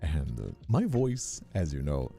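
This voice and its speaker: A man in his twenties who sounds older than his years, chatting casually on a livestream in a halting, rephrasing way. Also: very deep voice, very animated, gravelly, mellow, faint music behind. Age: 20s. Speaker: ASMR streamer and voice actor.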